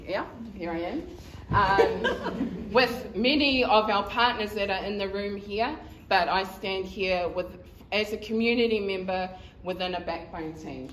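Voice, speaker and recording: A woman from New Zealand presents to a conference audience at a slow, halting pace, filling gaps with ums and nervous laughter. Her voice carries through an echoey hall, picked up from a distance over faint background noise. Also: mid-range voice, a crowd in the background. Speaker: community member presenter.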